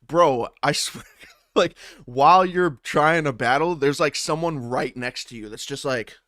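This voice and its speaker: A man's voice: a little high, measured pace, fairly bright tone, no echo. A man chatting casually on a livestream about battle rap, rambling loosely with many restarts and filler like "man" and "bro", recorded on a studio dynamic mic at a home setup. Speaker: streamer and battle rap commentator. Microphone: studio dynamic mic through audio interface.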